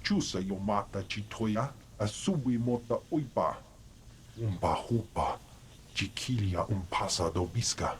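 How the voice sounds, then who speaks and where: Low-voiced brusque alien. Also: male voice, animated, unhurried, slight background noise. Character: brusque male alien speaking an invented tongue. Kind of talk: video game dialogue in an invented alien language.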